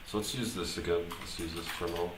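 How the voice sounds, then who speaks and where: A man thinking aloud as he live-streams his programming work, talking casually and haltingly, muttering to himself as he goes. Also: sits low, fairly even intonation. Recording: roomy echo, clear background noise, typing.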